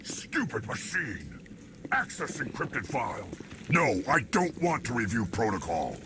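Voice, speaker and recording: A man voicing an angry, impatient alien mercenary in a video game, gravelly, blunt and threatening. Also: medium pitch, wildly swooping pitch, unhurried, noisy background. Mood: furious, impatient.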